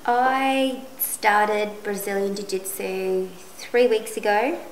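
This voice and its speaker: Young Australian woman, mid-pitched voice, upbeat, echoey room. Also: steady tone, slow pace, a little hiss or hum. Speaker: interviewee. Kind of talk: casual interview answers.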